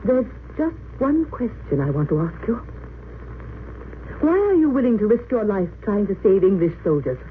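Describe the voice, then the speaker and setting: Crackly thin old radio play, actress doing an earnest dignified english nurse, very expressive pitch. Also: female voice, moderate pace, lightly acted. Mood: resolute. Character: principled, earnest English nurse running a wartime hospital. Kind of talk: 1930s-40s radio drama acting. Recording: tinny, hissy old radio recording.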